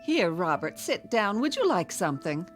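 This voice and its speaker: A woman's voice: on the low side, very animated, normal speed, soft background music. Lilting, genteel, fussing mother. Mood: gracious. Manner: genteel, solicitous. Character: genteel, fussing, affectionate middle-aged mother. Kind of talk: radio drama acting.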